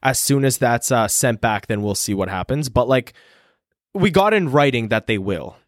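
A man's voice: mid-range voice, lively intonation, moderate pace, no room sound. Rambling, foul-mouthed young man, dry sound. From Ukraine. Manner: rambling, crude and profane. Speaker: podcast co-host. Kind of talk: casual chat.

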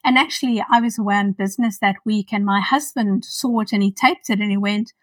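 A woman in her fifties with a South African accent, a podcast guest talking thoughtfully with many pauses. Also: medium pitch, steady tone, no room sound, recorded from a distance. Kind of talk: casual interview.

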